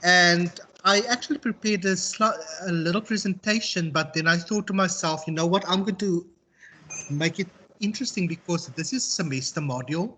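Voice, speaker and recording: Man, South African accent, slightly high voice, reflective, halting, rephrasing, informal tutor. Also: bright, forceful tone, a little hiss or hum, poor audio. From Western Cape, South Africa. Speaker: university tutor. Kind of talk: informal online lecture and discussion.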